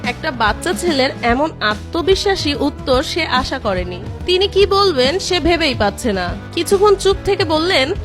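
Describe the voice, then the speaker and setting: A woman's voice: high-pitched, sing-song, normal speed, hardly any pauses. A woman reading scripted narration for a cartoon story. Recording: music behind the voice.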